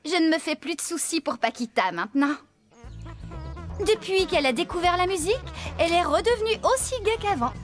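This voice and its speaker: Fermière de dessin animé enjouée, voix très aiguë. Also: female voice, very high voice, lively intonation, a little fast, music in the background. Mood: cheerful. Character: cheerful, caring adult woman farmer. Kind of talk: children's cartoon dub acting.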